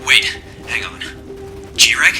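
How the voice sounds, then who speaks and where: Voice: slow pace, natural voice. An actor playing a resolute, mild-mouthed dad leading a battle in a radio play. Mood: urgent, resolute. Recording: voice under loud music.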